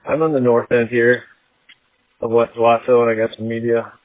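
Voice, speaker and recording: A man's voice: mid-range voice, level tone, fairly quick, no room sound. A male police officer speaking in terse radio traffic over a tinny narrowband transmission.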